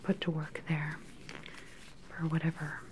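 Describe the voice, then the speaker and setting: A woman in her thirties playing a calm, sinister scientist in an ASMR sci-fi roleplay, whispering softly, close to the mic, with a clinical, condescending manner. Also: sits low, a little hiss or hum, poor audio. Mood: clinical, controlled. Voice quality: soft, breathy whisper. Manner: unhurried, clinical, quietly condescending. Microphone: close microphone, seated facing the camera.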